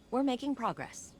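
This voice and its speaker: A woman's voice: on the high side, a little mellow, slight background noise. An actress playing a wry, informal female field agent in a video game, with a casual, dry delivery. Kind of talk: scripted video game monologue.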